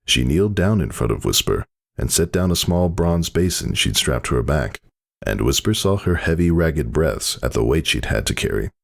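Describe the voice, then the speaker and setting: A man's voice: very deep voice, vocal fry, husky. A man narrating a fantasy story in a dramatic, scripted reading style.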